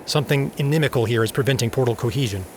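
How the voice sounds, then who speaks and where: An actor playing an earnest scientist leader and needy husband in an audio drama, serious and intense. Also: male voice, mid-range voice, rapid-fire, slight background noise, natural voice. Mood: urgent, grim.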